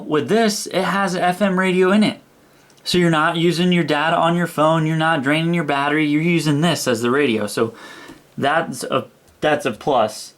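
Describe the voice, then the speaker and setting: A young man giving an informal, enthusiastic hands-on product review, repeating words for emphasis as he goes. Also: mid-range voice. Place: indoors, small room.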